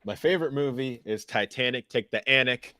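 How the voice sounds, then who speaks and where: A man's voice: medium pitch, a little fast, pushed and bright, no room sound. A man appearing as a guest co-host, bantering casually in a playful, slangy way.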